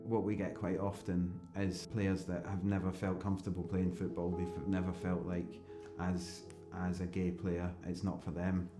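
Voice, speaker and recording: A Scottish man in his thirties with a very low voice talks in a level, measured way. His voice carries some room echo, and music plays clearly underneath. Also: completely flat delivery. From Scotland. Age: 30s. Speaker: football coach. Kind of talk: documentary interview. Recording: roomy interview with music bed.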